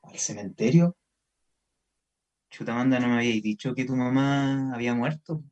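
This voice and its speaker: A man's voice: mid-range voice, moderate pace, darkish tone, highs cut off, natural voice. A man acting a wary, somewhat insecure Chilean boyfriend in a naturalistic radio drama, talking in a colloquial, conversational way. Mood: hesitant, teasing. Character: wary, distrustful local boyfriend named Manuel, insecure in love.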